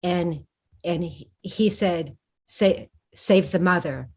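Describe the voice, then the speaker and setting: A woman author in an interview, answering thoughtfully with frequent restarts and pauses. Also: lowish voice, husky. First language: English. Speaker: historical fiction author, guest.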